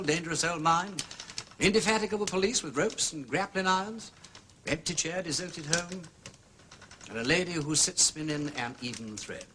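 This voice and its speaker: An actor playing an urbane aristocratic gentleman sleuth with an upper-class English accent, drawling and probing with theatrical understatement in a radio drama. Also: on the high side, noisy background, close to an everyday voice.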